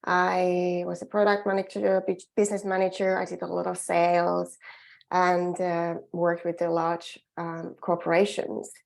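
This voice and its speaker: A woman's voice: lowish voice, completely flat delivery, unhurried, gravelly. A middle-aged woman from Finland with a Finnish accent, giving an earnest, encouraging webinar presentation as a well-being coach, often restarting her phrases.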